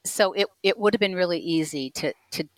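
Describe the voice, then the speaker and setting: Older woman, author guest, low voice, chatty, dry close sound. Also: a little fast, no echo. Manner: chatty, with frequent restarts and laughs. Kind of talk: casual interview chat.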